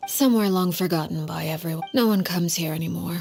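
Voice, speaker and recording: A woman voicing a cold, composed and menacing guardian in a video game, speaking in a measured, formal, ominous manner. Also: fairly low pitch, creaky, darkish tone. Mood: grave, composed. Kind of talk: video game voice acting, storytelling dialogue. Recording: music behind the voice.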